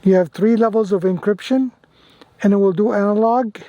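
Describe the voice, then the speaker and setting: High-pitched man, enthusiastic radio hobbyist vlogging. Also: normal speed, dark tone, no room sound, highs cut off. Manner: enthusiastic, repeats phrases. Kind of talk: casual product demo and radio operating.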